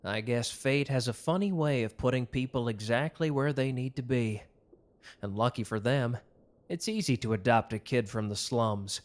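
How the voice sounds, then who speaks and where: A man's voice: mid-range voice, moderate pace, lightly acted. A man playing a protective, devoted mafia lover with a hot temper, speaking earnestly in an intimate romantic audio drama. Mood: tender, reflective.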